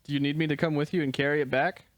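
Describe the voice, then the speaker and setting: A man playing an earnest, gentle young gray wolf, shy and devoted, in a dramatic reading of a screenplay. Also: a little high, steady tone, racing, no room sound, natural voice. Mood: earnest, confessional.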